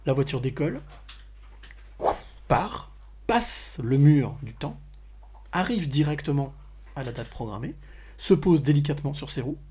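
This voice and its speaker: A man's voice: fairly high pitch, animated, measured pace, pauses often, mellow, breathy edge. A man hosting a conversational podcast interview, often repeating and rephrasing as he goes. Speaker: podcast host.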